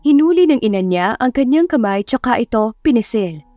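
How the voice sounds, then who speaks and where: A woman's voice: fairly high pitch, animated, brisk, mellow, no room sound, highs cut off, faint music behind. A woman reading a scripted romance story as an audiobook narrator.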